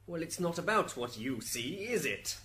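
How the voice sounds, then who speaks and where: A man's voice: a little high, big pitch swings, moderate pace, bright, forceful tone, a little hiss or hum. A man playing a curt, impatient judge of heaven in an amateur radio drama. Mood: curt, weary. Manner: commanding, curt.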